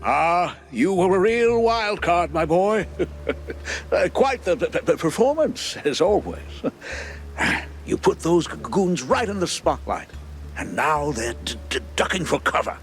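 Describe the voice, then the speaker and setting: A man's voice: on the high side, big pitch swings, a little slow, soft background music. A man playing a nervous, bumbling cartoon mouse politician in a noir game, stammering and chuckling with forced joviality. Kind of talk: animated noir game acting.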